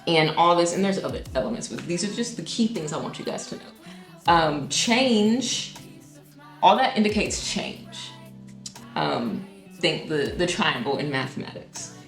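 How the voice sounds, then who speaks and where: Low-voiced woman explaining music theory. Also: sing-song, a little slow, roomy sound. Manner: thinks aloud with many ums and restarts, sometimes sings a note. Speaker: singer-songwriter explaining her own song. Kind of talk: casual music breakdown.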